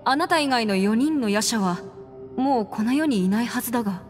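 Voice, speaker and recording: A woman acting in a Japanese game as a composed, proud young noblewoman adventurer, speaking in a measured, firm and formal way. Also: a little high, lively intonation, lightly acted. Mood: inquiring, earnest. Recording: long reverb, faint music behind.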